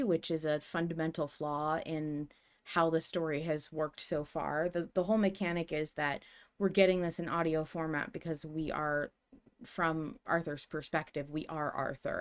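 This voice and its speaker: A woman podcast co-host in a casual fan discussion, thoughtful and tentative as she thinks aloud. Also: low voice.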